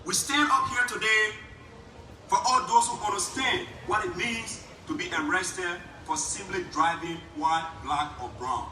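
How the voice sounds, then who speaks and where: A man's voice: high voice, unhurried, pushed and bright, reverberant, recorded from a distance, a little hiss or hum, poor audio. A man with a Liberian accent giving an impassioned speech at an outdoor rally, building his points through repetition. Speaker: rally speaker.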